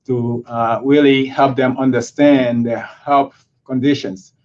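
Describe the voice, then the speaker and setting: A cardiologist originally from Haiti, speaking in an interview and pausing often with filler sounds. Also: male voice, mid-range voice, fairly even intonation, darkish tone, highs cut off. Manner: hesitant, many filler sounds. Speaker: cardiologist guest. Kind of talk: interview conversation.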